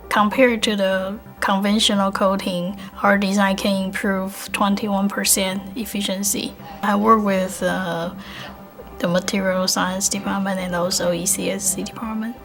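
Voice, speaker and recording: Female student researcher, Taiwanese accent. Plain non-native English, interview delivery, quiet music bed. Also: mid-range voice. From Taipei, Taiwan. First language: Chinese. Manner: simple, non-native phrasing. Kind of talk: interview-style personal and project account.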